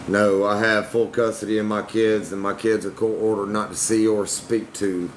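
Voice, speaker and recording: A young man with a somewhat low voice, answering his livestream chat bluntly and defensively. A steady air-conditioning hum runs under everything he says. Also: moderate pace, low-quality recording. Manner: blunt, defensive, Southern-tinged slang. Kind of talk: casual livestream talk to chat.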